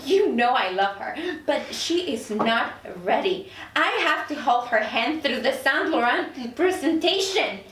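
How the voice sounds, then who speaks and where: High-voiced indignant career woman played by an actress. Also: animated, measured pace, hardly any pauses, a bit bright. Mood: outraged. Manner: sarcastic, incredulous. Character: proud, indignant career woman newly arrived in hell. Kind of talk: script table read. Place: echoey room, recorded from off. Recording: roomy, distant mic, faint noise.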